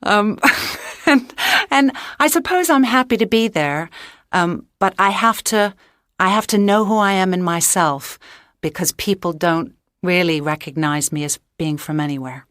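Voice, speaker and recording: A woman from the United States with a Mid-Atlantic accent, reflecting calmly on her own story with small hesitations. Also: fairly low pitch, a little slow, no room sound, clean studio sound. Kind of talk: unscripted personal account.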